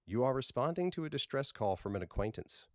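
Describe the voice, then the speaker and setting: A man voicing a serene, intuitive alien woman with formal, calm, reassuring phrasing in an audiobook. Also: medium pitch, fairly quick, no echo, studio quality, pitched above the performer's own voice, natural voice.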